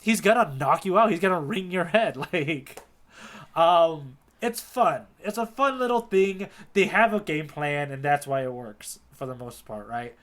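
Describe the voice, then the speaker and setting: A man with a slightly high-pitched voice talks as a podcast co-host. His intonation swings widely and sounds animated. The phrasing is informal and slangy, like a relaxed discussion between two fans. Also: a little slow. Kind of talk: casual manga discussion chat.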